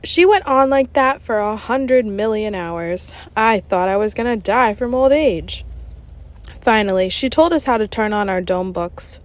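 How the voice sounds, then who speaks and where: Animated woman reading a children's story. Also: mid-range voice, a little slow. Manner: animated, conversational. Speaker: storybook reader. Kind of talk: expressive children's audiobook narration.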